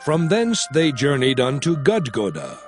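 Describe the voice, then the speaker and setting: A man playing a solemn prophet who exhorts his people in a measured, declamatory delivery for a dramatized scripture recording. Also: mid-range voice, wildly swooping pitch, faint music behind.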